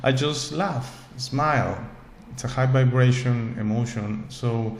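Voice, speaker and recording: Earnest low-voiced man with an echo. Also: creaky, a little mellow. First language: not English. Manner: earnest, rambling, repeats words, frequent "okay?". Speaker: host. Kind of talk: spiritual monologue to camera. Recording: echoey room with faint ambient noise.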